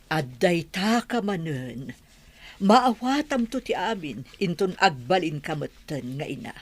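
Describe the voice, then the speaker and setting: An excitable middle-aged mother in an Ilocano radio drama. Her fairly low voice swings widely, pushy and emphatic, with a Filipino accent. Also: unhurried. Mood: insistent. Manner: emphatic, insistent.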